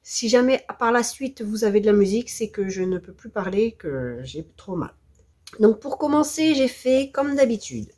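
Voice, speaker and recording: A French woman with a hoarse voice from the flu, presenting a scrapbooking tutorial in a hesitant, apologetic way. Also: on the high side, animated, normal speed, a little mellow. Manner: hesitant, apologetic, self-deprecating. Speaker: scrapbooking video host.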